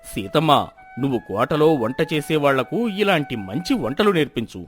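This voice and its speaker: A man's voice: medium pitch, very animated, a little fast, faint music behind, close to an everyday voice. A man voicing a proud village landlord turned humble and grateful, solemn and earnest, in a moral story. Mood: humbled, grateful. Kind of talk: Telugu moral story dubbing.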